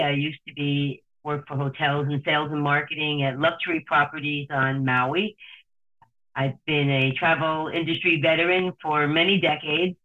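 A woman with a New Jersey accent speaks over a thin, tinny phone line. She owns a tour company and answers interview questions in a steady, storytelling way. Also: low voice. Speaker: tour company owner, guest.

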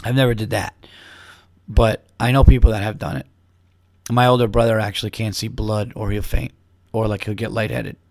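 A man's voice: lowish voice, little pitch movement, normal speed, vocal fry, a little mellow, no echo, studio quality. A man, a comedian talking casually on his own podcast in a rambling, upbeat way while losing his voice to a cold. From New York. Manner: rambling, upbeat, with restarts and plugs. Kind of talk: casual solo podcast chat.

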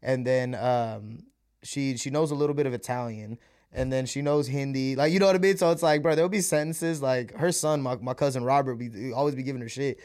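A man's voice: mid-range voice, fairly quick, darkish tone. A young man whose first language was Malayalam, chatting casually on a podcast in a laid-back, slangy way and laughing often. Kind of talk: casual chat.

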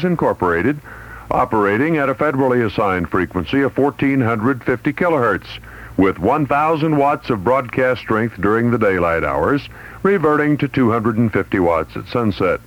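A man's voice: mid-range voice, fairly bright tone. A man reading a formal scripted sign-off as a station announcer on an old AM radio recording. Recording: dull lo-fi AM radio aircheck.

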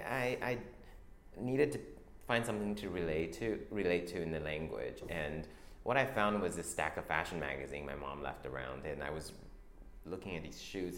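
A young man who grew up in Taiwan, a fashion designer answering interview questions in a soft, halting way with many restarts. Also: low voice. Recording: echoey room with faint ambience.

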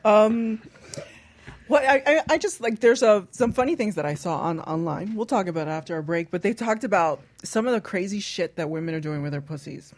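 A woman's voice: mid-range voice, a little fast. A woman hosting a raunchy radio talk show, asking cheeky, probing questions. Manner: asks probing cheeky questions, laughs often. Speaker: host. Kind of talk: raunchy casual chat.

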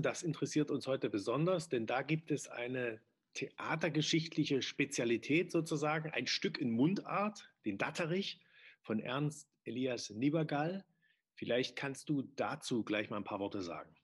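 Husky male podcast host. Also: mid-range voice, animated, moderate pace. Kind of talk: podcast interview.

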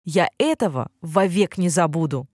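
Woman as an earnest, expressive old king. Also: on the low side, normal speed, no room sound, clean studio sound. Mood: grateful. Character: grateful old king, earnest doting father.

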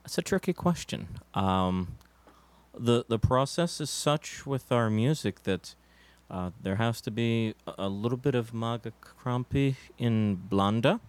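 A man playing a musician in a deadpan mock interview, halting and stammering, earnest but evasive. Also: mid-range voice. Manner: hesitant and full of fillers. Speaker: interviewed musician in a comedy sketch.

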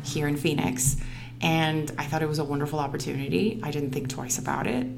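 A woman in her forties from Miami whose first language is Spanish, telling her story as a news anchor guest in a relaxed interview. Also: sits low, brisk, vocal fry, music in the background. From Miami, Florida. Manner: reflective, storytelling, laughs easily. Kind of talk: casual interview conversation.

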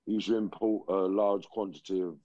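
Man in his 40s, very low mellow voice, dry dull call audio, measured and reflective. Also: fairly even intonation, dry recording. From England. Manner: slow, reflective, many pauses and ums. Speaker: guest. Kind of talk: life story interview.

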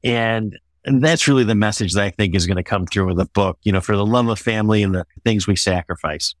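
A middle-aged or older American man answering interview questions as an author, in a measured, explanatory way. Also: lowish voice, no echo, studio quality.

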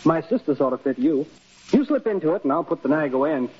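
An actor playing a cheeky, boyish young suitor with a breezy, teasing manner in a 1930s radio drama. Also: male voice, on the high side, expressive, quick pace, a little mellow, slightly rough. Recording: muffled, hissy 1930s radio recording.

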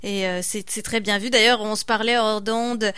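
A woman's voice: medium pitch, steady tone, moderate pace, bright, forceful tone, smooth, no room sound, studio quality. A woman hosting an interview, encouraging her guest and affirming with frequent murmurs. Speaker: interview host.

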